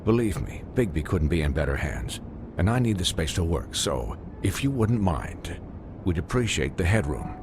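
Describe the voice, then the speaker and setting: An actor playing a dry-witted, weary doctor in a video game, formal, courteous and a little condescending. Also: male voice, low voice, big pitch swings, moderate pace, noisy background. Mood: impatient, polite.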